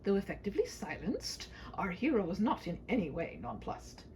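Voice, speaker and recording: A woman's voice: medium pitch, sing-song, moderate pace, darkish tone, slight background noise. A woman narrating a humorous written story with a theatrical, mock-grand storybook delivery.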